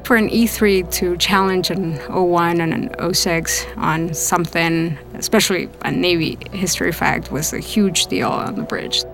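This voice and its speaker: Low-pitched, slightly creaky woman storyteller. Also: normal speed, few pauses, over music. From Lima, Peru. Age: late 30s. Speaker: storytelling guest, navy officer and psychiatrist. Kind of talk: personal storytelling.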